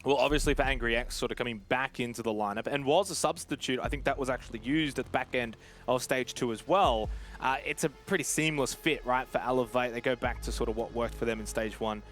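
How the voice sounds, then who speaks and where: A man commentating an esports match in a relaxed, conversational way, calling the action. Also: medium pitch, brisk, faint music behind. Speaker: esports caster. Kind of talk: play-by-play commentary.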